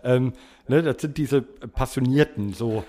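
A man co-hosting a podcast, chatting casually with friends and explaining things in a halting, conversational way. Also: medium pitch, warm tone. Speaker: podcast co-host. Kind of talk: casual chat among friends.

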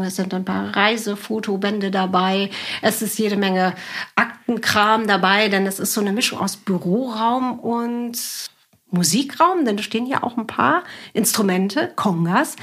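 Slightly husky woman hosting a podcast. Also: medium pitch, a bit bright. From Juist, North Sea island in Germany. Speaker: podcast host. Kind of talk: podcast narration and conversational interview.

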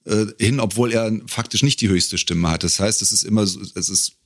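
Deep-voiced man, close dry sound. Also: a little fast, dry recording. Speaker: podcast guest, bass singer and voice artist. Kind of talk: casual conversational interview.

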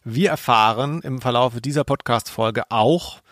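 A man's voice: medium pitch, measured pace, a bit bright, dry recording, clean studio sound. A man co-hosting a podcast, chatting in a casual, analytical style. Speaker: podcast co-host.

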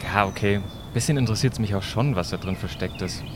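Easygoing, low-voiced time traveller, played by a man. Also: brisk, noisy background, lightly acted. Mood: intrigued. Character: easygoing, adventurous male time traveller, rational friend. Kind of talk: amateur scripted audio drama.

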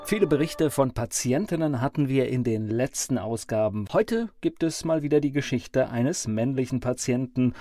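A man's voice: mid-range voice, expressive, moderate pace, hardly any pauses, dark tone, soft background music. A man presenting a podcast with a scripted introduction.

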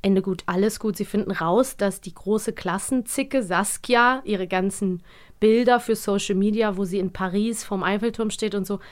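A woman's voice: mid-range voice, normal speed. A German woman hosting a podcast, chatting casually and laughing often as she retells a story. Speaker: podcast host reviewing radio plays. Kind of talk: casual chat.

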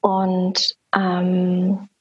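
A woman's voice: fairly low pitch, monotone, extremely slow, muffled recording. A woman in her thirties from Berlin, an interview guest and yoga and meditation teacher, talking through her path thoughtfully with many fillers. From Berlin, Germany. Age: 30s. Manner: thoughtful, frequent fillers, explains in lists. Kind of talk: reflective personal conversation. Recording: echoey room with loud background noise.

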